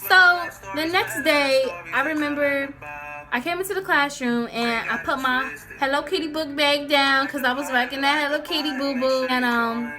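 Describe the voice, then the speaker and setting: A woman's voice: high-pitched, steady tone, normal speed. A young woman telling a casual, comedic story in a sassy, dramatic, slangy way, acting out the dialogue. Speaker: storytelling vlogger. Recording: music playing behind the voice. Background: clear background music.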